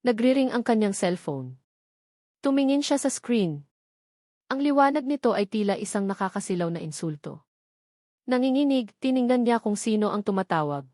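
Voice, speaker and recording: A woman's voice: a little high, expressive, normal speed, warm tone, dry recording, studio quality. A woman with a Filipino accent reading a scripted romance story as a dramatic narrator.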